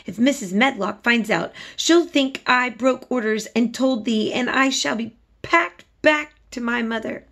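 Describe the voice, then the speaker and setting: A woman voicing an anxious, excitable young Yorkshire housemaid from a storybook, exclaiming in dialect. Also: on the high side, a little slow, gravelly, few pauses, voice pushed up. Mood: agitated. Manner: agitated dialect speech, exclaiming.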